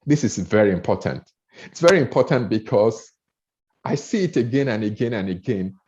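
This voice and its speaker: A man with a Nigerian accent talks through public speaking advice in an earnest coaching tone, often repeating and restarting words as he builds emphasis. His voice sits low, and the audio is dull and lo-fi. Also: expressive, normal speed, darkish tone. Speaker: speaker trainer.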